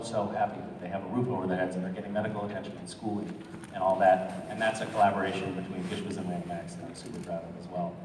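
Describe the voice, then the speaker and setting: A man's voice: lowish voice, noisy background. A man answering an audience question as a convention panel guest, earnest and reflective with a self-deprecating humor. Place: large convention hall. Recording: echoey hall from far off.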